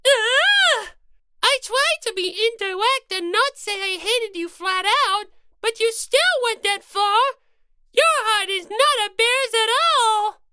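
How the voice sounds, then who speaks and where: A woman's voice: very high-pitched, animated, takes it slowly, bright, forceful tone, dry recording, clean studio sound, voice pushed up, full character voice. A woman voicing a cute, naive magical-girl rabbit mascot for an audiobook, plaintive and easily hurt. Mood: tearful, hurt. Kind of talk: audiobook character voice.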